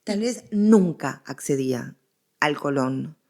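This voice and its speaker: A woman's voice: deep voice, animated, slow, creaky, darkish tone. A middle-aged woman with a Rioplatense Argentine accent, explaining as an expert guest in a conversational interview, emphatic and given to digressions.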